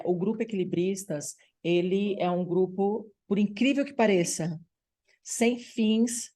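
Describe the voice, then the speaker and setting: A Brazilian woman hosting an online women's group meeting over a video call, upbeat and encouraging, often checking in with the audience. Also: lowish voice. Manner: upbeat, warm-sounding through her words, drops in frequent "tá bom?" check-ins. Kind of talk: lively welcoming online meeting talk.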